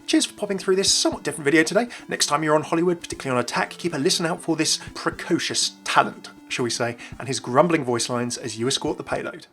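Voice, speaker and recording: A young man narrates a gaming video as its host. He talks quickly in a slightly high voice. Light music runs behind his scripted delivery. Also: animated, fairly bright tone.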